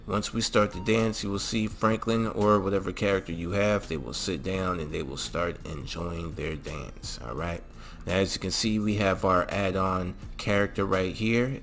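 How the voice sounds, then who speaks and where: A man's voice: low voice, fairly bright tone. A man hosting a gaming channel, walking through a mod tutorial in a casual way with lots of fillers and a repeated "all right?".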